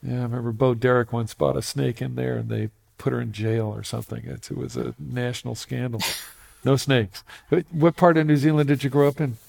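A man's voice: medium pitch, steady tone, a little fast, vocal fry, few pauses, a little mellow, breathy edge. A man hosting an interview, asking curious follow-up questions in short prompts. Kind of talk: casual interview.

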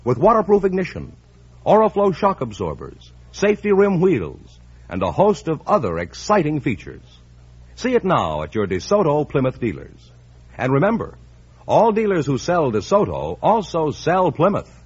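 A man's voice: a little high, wildly swooping pitch, normal speed, husky, dull audio. An American man announcing in a polished, emphatic 1950s radio advertising style on a vintage recording.

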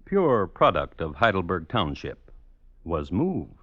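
A man hosting and narrating a scripted true crime radio show in a wry, measured, old-time manner. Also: sits low, huge pitch swings, fairly bright tone, no echo. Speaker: host and narrator. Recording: dull old radio recording. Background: music.

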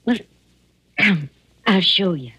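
A woman's voice: medium pitch, lively intonation, slow, pushed and bright, slightly rough, no echo, full character voice. An actress playing an anguished, protective older housekeeper and mother, pleading and faltering in 1940s radio melodrama style. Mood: nervous, imploring. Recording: muffled old radio recording.

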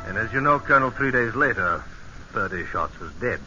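Casting: male actor as intelligence officer, courteous but relentless, slightly low, crisp-edged, muffled old radio recording. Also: expressive, loud music behind the voice, close to an everyday voice. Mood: polite probing. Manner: polite, measured, probing. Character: British military intelligence captain, polite, shrewd, relentless interrogator. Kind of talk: 1940s-50s radio drama acting.

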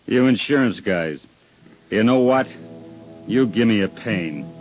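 A suspicious, badgering police sergeant in an old radio crime drama. His voice is low, and his delivery is blunt and sarcastic, pushing hard at every question. The recording is dull, vintage broadcast sound. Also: animated, slow, loud music behind the voice. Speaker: actor playing a police sergeant. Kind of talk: 1950s radio drama acting.

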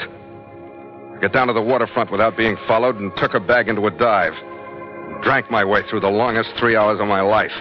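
A man's voice: mid-range voice, lightly acted. An American actor playing a brooding, hard-boiled sea captain who narrates his adventures in a terse, world-weary 1940s radio style. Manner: terse, world-weary, deliberate dramatic delivery. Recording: muffled old radio recording, loud music.

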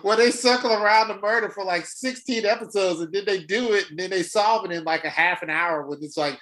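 A man's voice: high voice, a little fast, fairly bright tone, voice pushed up, rough recording. A man playing an easily impressed, chatty exchange-student detective's sidekick, gushing in breezy casual slang for improvised comedy.

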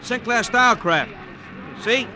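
A man's voice: extremely high pitch, expressive, a little slow, noisy background. An actor playing a plain-talking, matter-of-fact city policeman in an old radio drama, reporting in streetwise, colloquial phrases. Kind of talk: 1940s-50s radio drama acting.

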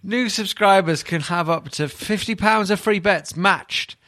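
A man's voice: high voice, measured pace, bright, forceful tone, no echo. Man hosting comic podcast, dry close sound. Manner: teasing, mock-baffled. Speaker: podcast host. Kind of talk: casual comic podcast banter.

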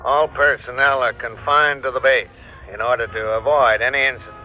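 A man's voice: mid-range voice, fairly even intonation, a little fast, faint music behind, close to an everyday voice. A man playing a guarded, stern American general answering a reporter in a clipped official manner in a radio drama. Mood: guarded, firm. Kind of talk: classic radio drama, staged press interview. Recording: tinny old radio recording.

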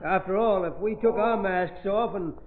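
A man's voice: high-pitched, normal speed, a crowd in the background, natural voice. A man playing a conscience-stricken mine foreman, agitated and protesting, in an old-time radio drama. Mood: weary. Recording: tinny, echoing old radio recording.

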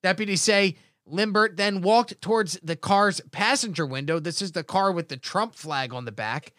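A man's voice: sits high, lively intonation, moderate pace, a bit bright, dry recording, clean studio sound. A man in his thirties hosting a casual comedy chat, jokingly reading out odd news stories. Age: 30s. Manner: jokey, reads news stories aloud with asides. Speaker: podcast host.

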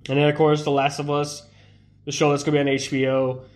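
A man's voice: on the high side, monotone, quick pace. Congested young man rambling casually. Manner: rambling, loose, with many fillers and restarts. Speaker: streamer. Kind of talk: casual live-stream chat.

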